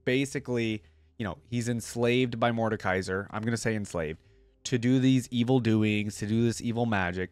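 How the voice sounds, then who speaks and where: A man's voice: medium pitch, moderate pace, clear, resonant tone, dry recording. A young man hosting a casual reaction video, thinking aloud and reading lore at a close desk microphone. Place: indoor gaming room.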